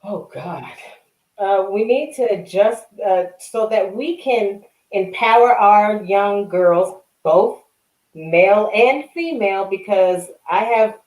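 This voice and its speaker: A woman who wrote a children's book, answering as a guest on a radio talk show in an earnest, measured way. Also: medium pitch, darkish tone, reverberant, rough recording. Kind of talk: radio interview.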